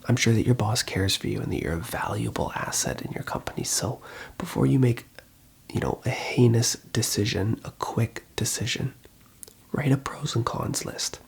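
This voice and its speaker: An ASMR roleplayer as a phone counsellor, speaking in a very breathy whisper at a middling pitch. The delivery is reassuring and unhurried, like a helpline operator taking calls. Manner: reassuring, unhurried advice-giving. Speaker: ASMR host playing a call centre phone counsellor.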